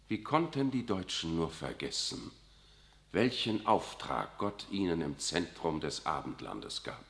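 Fields: Role: a smooth, evasive old cardinal. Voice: bright, lively. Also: male voice, rumbling low, heavily acted. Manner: unctuous, keeps asking "nicht wahr". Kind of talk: 1960s German radio drama acting.

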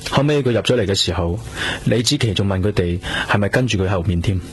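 Over a clear hum and noise comes a man acting as an earnest male detective with a low voice. He speaks Hong Kong Cantonese in a polite, natural radio-drama manner, feeling his way through questions. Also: lively intonation, fast, lightly acted. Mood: attentive, gentle. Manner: polite, hesitant, conversational questioning. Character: earnest male police detective, self-reproaching, gentle with companion.

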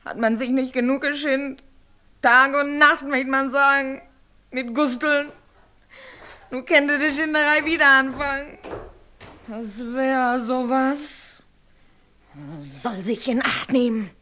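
A sharp-tongued Silesian village wife in a naturalistic radio drama. Her voice sits fairly high, and she talks in a curt, scolding way, full of resentment. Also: fairly even intonation, takes it slowly, pauses often, full character voice. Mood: bitter. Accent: Silesian German. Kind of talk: naturalistic German radio play acting in dialect.

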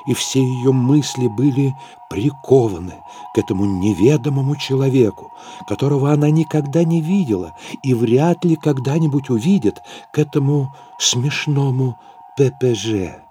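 An actor as the composed narrator of a literary audio drama, reading scripted prose in a serious storytelling manner. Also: male voice, medium pitch, mellow, lightly acted.

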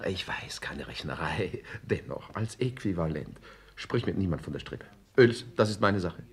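An actor playing a vain, frivolous young prince in a German radio play, with an affected, halting drawl. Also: male voice, low-pitched, lively intonation, creaky, slight background noise. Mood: eager, secretive.

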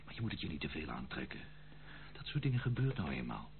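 An actor playing a charming, spendthrift prodigal son in an old Dutch radio play, pleading in a theatrical, hesitant way. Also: male voice, rumbling low, very animated, quick pace, vocal fry, exaggerated voice. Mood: rueful. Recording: muffled phone-like old radio recording, hum.

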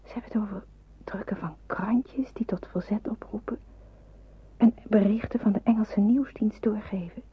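Husky, breathy youngster in hiding. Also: female voice, medium pitch, steady tone, moderate pace. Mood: hushed, reflective. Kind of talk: radio drama acting with first-person narration. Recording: muffled, phone-like old radio recording.

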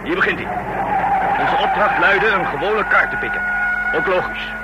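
An actor playing a terse, commanding kidnapper in a radio play, giving clipped, bossy, urgent orders. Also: male voice, high-pitched, moderate pace, natural voice. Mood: businesslike. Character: terse, commanding male kidnapper, leader of the getaway. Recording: noisy old radio drama recording.

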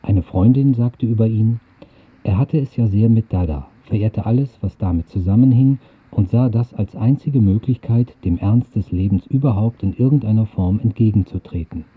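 A man's voice: lowish voice, normal speed, slightly rough, phone-quality sound. A man presenting on radio, reading a scripted introduction to an audio play.

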